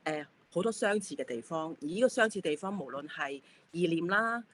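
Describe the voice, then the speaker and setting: A woman artist from Hong Kong presenting her work in an online talk, soft and a little hesitant. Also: fairly low pitch, animated, brisk, a little hiss or hum. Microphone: video call.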